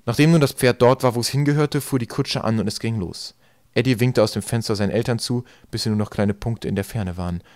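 A man's voice: mid-range voice, quick pace, a little mellow, no echo. A man narrating a storybook as a scripted audiobook reading. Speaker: audiobook reader.

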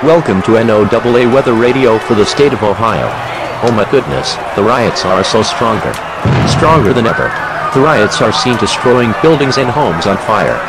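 A man's voice: mid-range voice, expressive, normal speed, over loud music, poor audio. A man giving a scripted mock emergency report as a weather radio announcer. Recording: very loud crowd and street noise.

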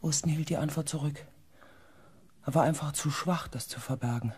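An actor playing an anxious, reflective researcher who narrates a sci-fi radio drama in a tense, dramatic manner. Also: male voice, a little high, normal speed, darkish tone, breathy edge. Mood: grave. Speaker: narrator and character. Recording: faint background ambience.

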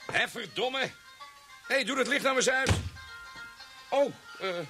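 An actor playing an eager, awkward young police subordinate in a Dutch radio drama, deferential and given to stammering. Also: male voice, extremely high pitch, measured pace, over music. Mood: flustered.

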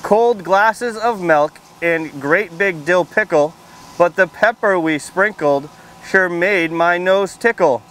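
A man's voice: high voice, slow pace, fairly bright tone, a little hiss or hum, low-quality recording. A man in his thirties, a firefighter, talking and reading to young children in a plain-spoken, friendly way, outdoors through a face mask. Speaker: firefighter and fire prevention educator reading at a children's storytime. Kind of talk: read-aloud storytime and casual talk to young children. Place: outdoors under a canopy on a library lawn.

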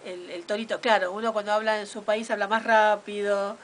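A woman's voice: mid-range voice, flat delivery, a little fast, slight background noise. A woman with an Argentine accent hosting a radio interview, chatty and often repeating words as she talks. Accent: Argentine Spanish. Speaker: radio host. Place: small radio studio.

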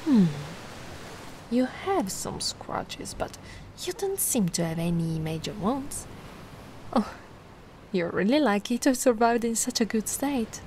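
Woman acting as high-voiced, animated giant mermaid girl. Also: slow, long pauses, a little hiss or hum. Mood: caring. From Italy. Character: giant young female mermaid, cute, anxious, kindly. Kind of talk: ASMR roleplay.

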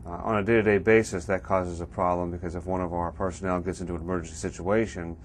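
A man's voice: low voice, little pitch movement, fast talker, a little hiss or hum. A middle-aged American man, an assistant fire chief, speaking matter-of-factly in a seated interview. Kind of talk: on-camera interview testimonial. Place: indoor office room, seated.

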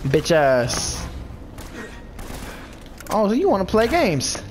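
A man's voice: high voice, huge pitch swings, slow pace, over music. Congested young man swearing through gameplay. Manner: loose, profane trash talk at enemies. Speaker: let's play host. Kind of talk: casual gameplay commentary.

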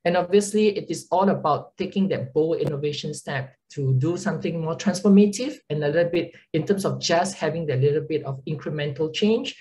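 A woman's voice: sits low, brisk, few pauses, mellow. A woman with a Singaporean accent, a consulting partner presenting on a video call webinar, chatty with frequent filler words and tag questions. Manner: conversational, frequent "right?" tags and um fillers.

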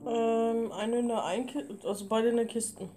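Woman chatting under loud guitar music. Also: on the high side, fairly even intonation, normal speed. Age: 20s. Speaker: let's player. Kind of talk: casual chat during gameplay.

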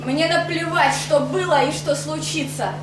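A woman's voice: high-pitched, steady tone, unhurried. A young woman reading her own poems aloud on a cafe stage, in an intimate, lilting declamation. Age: young adult. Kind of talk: poetry recitation. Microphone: far camera mic, no handheld mic. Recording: echoey club room, far mic, audible noise.

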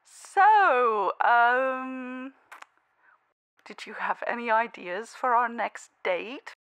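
Young space heroine for parody cartoon, played by a woman: very lively pitch, dry close sound, giddy, halting, exasperated. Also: smooth, dry recording, full character voice. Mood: shy.

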